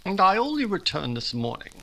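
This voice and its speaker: Actor doing excitable young gentleman, bright voice, super animated, old radio romance style. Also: sits high, fairly quick, gravelly, exaggerated voice, rough recording. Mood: excited, happy. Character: eager, excitable, good-natured young gentleman in love. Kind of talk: recreated 1940s radio drama acting.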